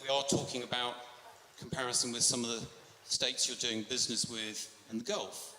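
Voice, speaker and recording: A man from Europe questioning a speaker in a live political debate, disagreeing in a polite, measured but insistent way. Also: medium pitch, steady tone, pushed and bright. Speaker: audience member questioning the speaker. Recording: reverberant hall microphone.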